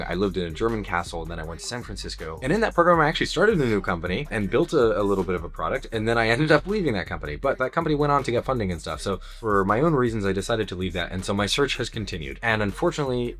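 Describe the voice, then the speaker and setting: A man's voice: sits low, fairly bright tone. A young man from Singapore talking candidly in a casual vlog, rambling and reflective about his plans. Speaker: vlogger and founder.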